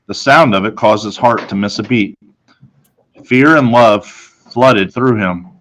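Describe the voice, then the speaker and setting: A man narrating a children's novel as a reader, in a measured, suspenseful storytelling manner. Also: on the low side, fairly bright tone, dull audio.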